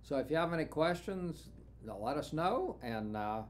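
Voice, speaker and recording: An older man, a banjo dealer, casually walking viewers through an instrument, unhurried and dotted with "uh" fillers, recorded in a small studio room. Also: fairly high pitch, a little hiss or hum. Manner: relaxed, unhurried, with frequent "uh" fillers. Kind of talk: casual product walkthrough.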